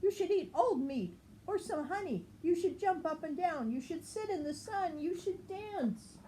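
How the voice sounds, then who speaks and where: A woman's voice: very high-pitched, expressive, a little slow, mellow, a little hiss or hum, pitched above the performer's own voice, poor audio. A woman voicing cheerful, curious animals in a storybook, eager and sing-song as they offer friendly advice.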